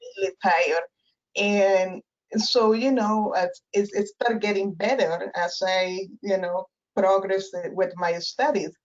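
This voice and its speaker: A woman's voice: medium pitch, steady tone, slow, muffled recording. A woman whose first language is Spanish, speaking with a Spanish accent as a guest professor in a webinar interview, thoughtful and full of restarts. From Spanish-speaking country. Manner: thoughtful, many fillers and restarts. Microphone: video call.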